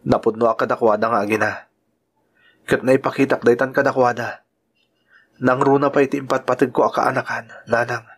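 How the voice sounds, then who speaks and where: A man's voice: mid-range voice, level tone, a little fast, pauses often, breathy edge. A man playing an earnest, devoted suitor in an Ilocano radio drama, speaking with sincere emotion. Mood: earnest, pleading. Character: earnest lovestruck suitor, a man devoted to Aida and her boy.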